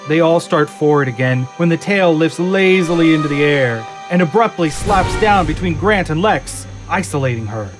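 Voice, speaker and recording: A man reading scripted dramatic narration for an audio drama in a suspenseful storytelling manner. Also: on the high side. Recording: music and effects behind narration.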